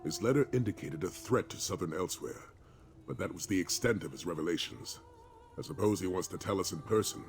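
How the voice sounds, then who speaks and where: An actor playing a gruff, weary but loyal veteran warrior in a video game, talking in a measured, dry, formal way. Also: male voice, low voice. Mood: reflective, troubled. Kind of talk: video game character dialogue. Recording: music clearly under the voice.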